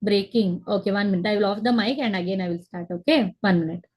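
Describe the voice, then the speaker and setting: A woman's voice: medium pitch, fast, darkish tone, slightly rough. A young woman teaching a biology class online over a video call, explaining step by step, repeating key terms and checking that the students follow. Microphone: laptop webcam on a video call.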